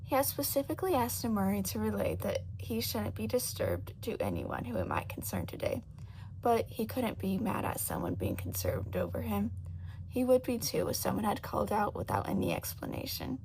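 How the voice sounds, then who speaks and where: A woman reading a story as a narrator, in an expressive, dramatic scripted style. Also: mid-range voice, normal speed, slight background noise, rough recording. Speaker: audiobook narrator of fan fiction.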